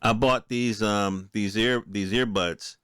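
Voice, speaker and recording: A man's voice: lowish voice, fairly even intonation, takes it slowly, a bit bright, clear, resonant tone, dry recording, clean studio sound. An American man hosting a podcast, commenting in an informal, opinionated, conversational way and speaking directly to his listeners. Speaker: podcast host. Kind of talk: casual solo commentary, reading and reacting to news articles.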